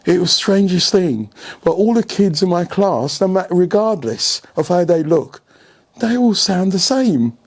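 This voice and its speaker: A man's voice: high voice, measured pace. An older man, telling stories in an interview as a senior coach, often posing rhetorical questions and checking that the listener follows. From England. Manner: animated anecdotes with rhetorical questions and repeated checks for agreement. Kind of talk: storytelling in an interview.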